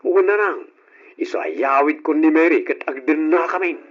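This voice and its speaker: A man's voice: a little high, normal speed, soft background music, lightly acted. Man playing a bossy young elder brother. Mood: earnest. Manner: lecturing, scolding. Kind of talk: old radio drama acting. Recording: dull old radio recording.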